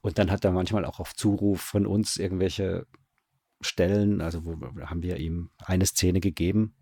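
A man's voice: low voice, flat delivery, moderate pace, mellow, breathy edge, dry recording. A man answering interview questions as a radio drama director, conversational and often searching for words with repeated starts.

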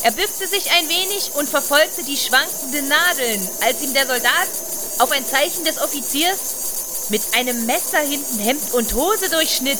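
A woman's voice: sits high, pushed and bright. A woman reading scripted literary narration as the narrator of a radio play.

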